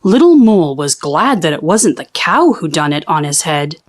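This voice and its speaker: A woman reading a children's storybook aloud in an expressive, theatrical and deliberate storytelling manner. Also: low voice. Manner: theatrical, deliberate storytelling with drawn-out sound words. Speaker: children's book read-aloud host.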